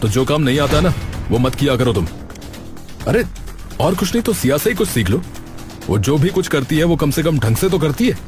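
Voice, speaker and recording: A man's voice: on the high side, very animated, normal speed, pauses often, music in the background. A man voicing a grumbling family man in a comic cartoon, conversational and exasperated. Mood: exasperated scolding.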